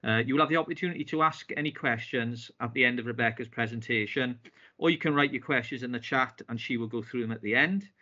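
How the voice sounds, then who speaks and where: Man hosting a business video call, bright forceful voice, bit muffled, lots of ums. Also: medium pitch, fast talker. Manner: polite, with frequent fillers and restarts. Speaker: business club host. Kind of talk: online meeting hosting. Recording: dull lo-fi video call audio.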